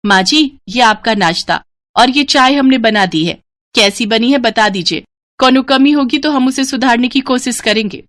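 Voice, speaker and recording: A woman's voice: fairly high pitch, moderate pace, a bit bright, voice pushed up, natural voice. Woman acting young Bihari bride, earnest, dutiful, anxious to please, polite, apologetic, Bihari accent, moral tale.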